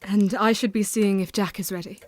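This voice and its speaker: An actress playing a resolute, composed young Victorian woman with a formal, measured manner in an audio drama. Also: mid-range voice, fairly even intonation, background noise, close to an everyday voice. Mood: tender, grieving.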